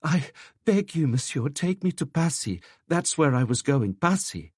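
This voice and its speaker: A man's voice: a little high, sing-song, a little slow, darkish tone, breathy edge, no room sound, studio quality, voice pushed up, heavily acted. A man voicing a frightened young woman new to the city, stammering and pleading tearfully in an audiobook. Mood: tearful begging. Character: frightened, sobbing young woman, timid newcomer to Paris.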